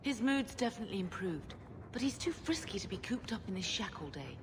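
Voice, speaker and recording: An actress playing a gentle middle-aged mother in a video game. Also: mid-range voice, very animated, brisk, close to an everyday voice. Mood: fond. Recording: loud background noise, dog sounds. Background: dog, loud ambience.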